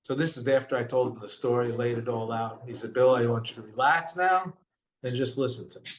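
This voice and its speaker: Older man, New York accent, earnest storyteller, mid-pitched voice, drops quiet at heavy moments. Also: brisk. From Brooklyn, New York. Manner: earnest, retells dialogue in quotes, voice drops low and quiet at hard moments. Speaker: guest telling his story. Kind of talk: personal storytelling.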